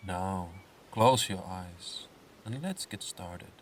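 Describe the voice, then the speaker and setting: Male meditation narrator: deep register, very slow pacing, soothing scripted delivery, sounding a little distant from the mic. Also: lively intonation, slight background noise. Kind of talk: slow scripted guided meditation with comic swearing.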